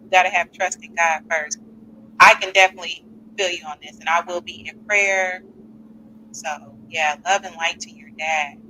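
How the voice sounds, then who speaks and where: Bright-voiced chatty woman host. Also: lowish voice, normal speed, slight background noise, rough recording. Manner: animated, chatty, reads out comments. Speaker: podcast host. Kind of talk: live call-in chat.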